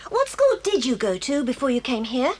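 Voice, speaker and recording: A woman's voice: sits high, very animated, moderate pace. An actress playing an eager, plain-spoken schoolgirl with an English accent in a children's audio drama, jolly and emphatic. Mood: hurt, indignant. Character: lively, plain-spoken English boarding-school girl, eager and fair-minded.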